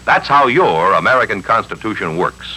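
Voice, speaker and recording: An actor playing an emphatic, instructive civics explainer in a 1950s radio announcement, with a rough-edged voice. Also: male voice, on the low side, sing-song, moderate pace, pushed and bright, exaggerated voice, rough recording. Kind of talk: 1950s radio public information address on civics.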